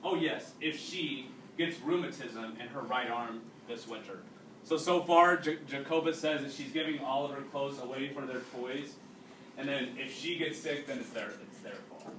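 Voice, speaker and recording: A man reading a storybook aloud to a class as their teacher, pausing to explain and ask questions. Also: mid-range voice, fairly bright tone. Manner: instructive, checking understanding with questions. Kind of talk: read-aloud with explanatory asides and questions to pupils. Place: indoors, classroom. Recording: roomy, distant mic, faint background noise.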